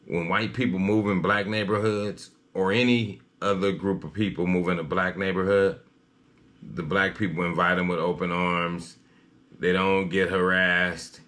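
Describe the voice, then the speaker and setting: Deep-voiced male news commentator. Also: a bit bright. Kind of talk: reading a news story with personal commentary.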